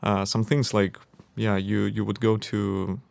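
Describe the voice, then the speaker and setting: A man's voice: fairly low pitch, level tone, a little slow, creaky, a little mellow, no echo. A man hosting a podcast, asking questions in a casual way, searching for words with many fillers. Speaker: podcast host.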